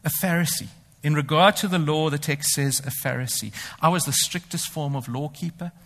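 A man's voice: fairly high pitch, normal speed, a bit bright. A man preaching a sermon. He talks animatedly, often speaking in the first person as the apostle and boasting ironically. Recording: long hall reverb.

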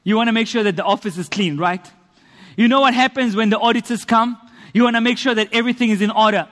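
High-voiced South African man preaching. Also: fast talker, a bit bright. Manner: earnest, rhetorical, builds emphasis through repetition. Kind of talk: sermon with personal testimony. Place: church hall. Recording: long reverb of a church hall.

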